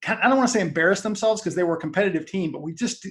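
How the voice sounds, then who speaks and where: Higher-pitched man giving casual fan commentary. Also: rapid-fire. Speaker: sports fan-site founder, guest. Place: remote video call.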